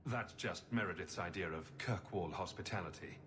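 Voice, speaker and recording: An actor playing a composed, wry champion in a video game, speaking in a measured, lightly dry way. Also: male voice, low voice, fairly bright tone, a little hiss or hum. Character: composed, wry male champion, a settled refugee. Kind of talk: video game cutscene acting.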